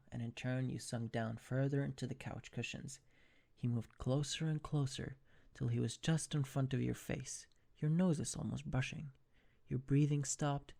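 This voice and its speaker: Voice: mid-range voice, creaky, dark tone. A narrator reading second-person fan fiction in an ASMR storytelling style.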